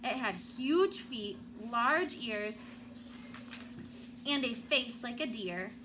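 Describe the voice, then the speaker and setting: Higher-voiced woman teacher reading aloud, noisy recording. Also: lively intonation, takes it slowly. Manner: prompting, checks understanding with questions. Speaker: elementary school teacher. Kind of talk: interactive read-aloud of a children's book with questions to pupils. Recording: clearly noisy room sound.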